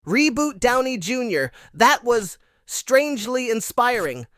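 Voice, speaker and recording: A woman's voice: fairly high pitch, big pitch swings, unhurried, fairly bright tone, no room sound, studio quality. A woman playing a playful, chatty puppet who hosts a show in a theatrical way. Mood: showy, upbeat. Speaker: host. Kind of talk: scripted puppet show presenting.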